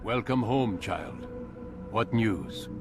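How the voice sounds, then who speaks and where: An actor playing an older assassin master in a video game, calm, cryptic and commanding, in grave measured oratory. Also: male voice, fairly low pitch, loud music behind the voice. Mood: calm authority. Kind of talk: video game cutscene acting.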